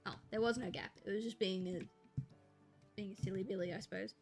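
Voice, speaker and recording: A young woman, chatting casually and rambling to viewers on a live stream, with a slight gravel in her voice when she talks quietly. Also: mid-range voice, fairly even intonation, fairly quick, soft background music. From Australia. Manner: chatty, rambling, laughs easily, thinks aloud.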